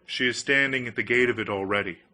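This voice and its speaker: A man's voice: medium pitch, quick pace, pushed and bright. A man voicing a magical talking fish in a storybook, terse and commanding. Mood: curt. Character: magical male talking fish granting wishes, curt.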